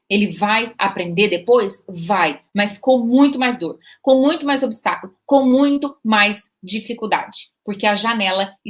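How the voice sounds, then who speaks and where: A Brazilian woman talking casually to parents about children learning English, checking in often with short questions. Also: fairly high pitch. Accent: Brazilian Portuguese. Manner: lively, repetitive, asks "tá?" and "né?" to check in. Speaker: bilingual parenting educator. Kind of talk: informal video talk to parents.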